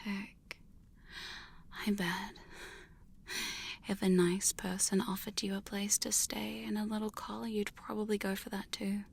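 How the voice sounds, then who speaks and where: A woman playing a defiant, sly female vampire hunter in an ASMR roleplay, speaking close to the mic in a breathy, whispery, teasing voice. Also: mid-range voice, level tone, slight background noise. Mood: coaxing, teasing. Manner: taunting, intimate, drawn-out phrasing with sudden firmer lines. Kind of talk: ASMR hypnosis roleplay, second-person. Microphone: close-up studio condenser and binaural microphone.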